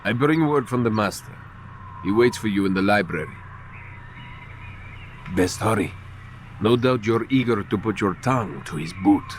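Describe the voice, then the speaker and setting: A man's voice: fairly low pitch, expressive, moderate pace, long pauses, slight background noise, lightly acted. An actor playing a jealous, sneering assassin rival in a video game, mocking and taunting as he talks. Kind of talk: dramatic video game acting.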